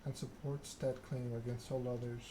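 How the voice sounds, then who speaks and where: A man softly narrating a story game in ASMR style, reading in a measured, deliberate way. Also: medium pitch, little pitch movement. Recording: clearly noisy background ambience.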